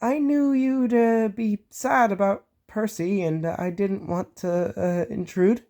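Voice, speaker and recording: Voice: medium pitch, steady tone, unhurried, warm tone, voice pushed up, lightly acted. A storybook reader voicing an impatient, fussy male tank engine who talks in a huffy, flustered way. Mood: evasive.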